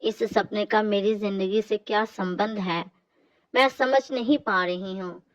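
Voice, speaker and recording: A woman playing a gentle, dutiful young daughter-in-law in a scripted cartoon story. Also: medium pitch, steady tone, a little slow, dry recording, dull audio, close to an everyday voice. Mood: puzzled.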